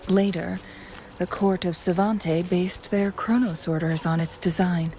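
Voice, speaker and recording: An actress playing a poised, gentle young woman guide in a video game, speaking in a measured, softly formal way over faint noise. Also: fairly low pitch, steady tone. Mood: composed. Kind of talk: video game story dialogue.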